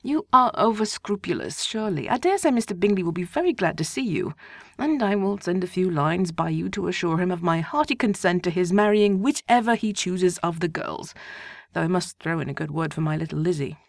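A woman voicing an excitable, gossiping middle-aged mother of five who is fussy and insistent. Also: lowish voice, fairly quick, creaky, dry recording, studio quality. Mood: pleading.

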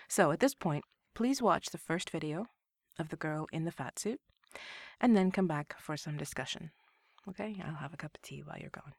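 A woman's voice: sits low, vocal fry, no room sound, studio quality. A woman hosting her own podcast, talking candidly and conversationally while stuffed up from a cold, laughing now and then. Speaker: podcast host. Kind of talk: solo podcast commentary.

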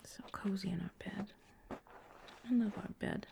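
Woman murmuring real slow n soft to a lover, breathy whispery asmr roleplay, lots of vocal fry. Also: mid-range voice, steady tone. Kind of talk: intimate girlfriend roleplay ASMR.

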